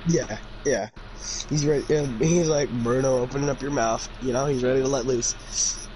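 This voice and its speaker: A man's voice: medium pitch, steady tone, normal speed, a little mellow. A young man reading fan fiction aloud casually and comically, breaking off into sweary asides. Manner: jokey, easily sidetracked, swears. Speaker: amateur fan fiction reader. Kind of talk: casual comedic read-aloud with joking asides. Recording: noisy amateur recording with room ambience.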